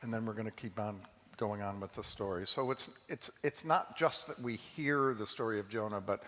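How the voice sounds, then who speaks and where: A man speaking as a rabbi, informally introducing a dramatic reading to a congregation in a halting, conversational way. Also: on the low side, bright, forceful tone. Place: large reverberant hall, amplified to an audience. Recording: long hall reverb.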